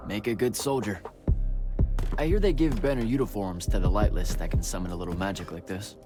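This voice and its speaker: A man's voice: on the low side, a little fast, close to an everyday voice. A man playing a sardonic young street thief with a little magic, bantering casually in video game dialogue. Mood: teasing, questioning. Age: young adult. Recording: music behind the voice.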